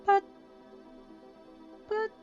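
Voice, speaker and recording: A woman's voice: extremely slow, muffled recording, faint music behind. A woman voicing a troubled, self-doubting teenage girl in a plaintive, insistent way for a story. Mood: defensive.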